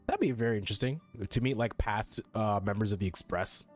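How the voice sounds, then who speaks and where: A man's voice: on the low side, brisk, faint music behind. A man giving casual let's play commentary in a jokey, rambling, sweary way. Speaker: game streamer.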